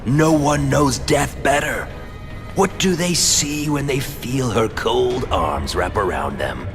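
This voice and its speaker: An actor playing a psychotic male serial killer in a video game cutscene, mocking and menacing, swinging from whispers to shouts. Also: mid-range voice, expressive, slow. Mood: taunting. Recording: game audio with loud score. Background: loud music.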